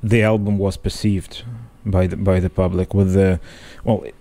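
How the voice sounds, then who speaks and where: A man's voice: sits low, flat delivery, normal speed, dark tone. A man who is not a native English speaker, co-hosting a podcast and talking things over in a thoughtful, hesitant way with many restarts. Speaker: podcast co-host. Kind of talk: casual analytic chat.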